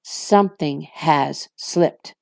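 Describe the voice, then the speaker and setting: Woman doing a sardonic, slow-talking orc captain. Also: sits low, vocal fry, darkish tone, no room sound, full character voice. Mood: sardonic warning. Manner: sardonic, needling. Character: sly, mocking, gloomy male orc captain.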